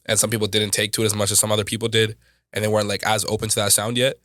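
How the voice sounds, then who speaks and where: A man's voice: on the low side, completely flat delivery, rapid-fire, a bit bright, dry recording, clean studio sound. A young man from Canada, a rapper guest telling loose, rambling stories full of slang on a casual podcast.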